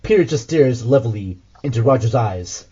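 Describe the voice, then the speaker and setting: A man's voice: medium pitch, expressive, moderate pace, mellow, slightly rough, highs cut off. A man reading scripted audio drama narration as the host.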